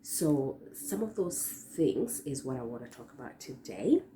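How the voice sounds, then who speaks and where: A young woman with a hoarse voice hosting her own vlog, chatting casually to viewers with lots of fillers and restarts. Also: extremely low pitch, big pitch swings, measured pace, noisy background. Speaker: vlogger host. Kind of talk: casual talk to camera. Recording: echoey room.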